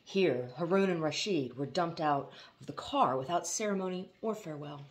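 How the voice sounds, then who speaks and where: Low-voiced woman reading a story. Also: expressive, normal speed. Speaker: audiobook reader. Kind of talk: expressive storybook narration.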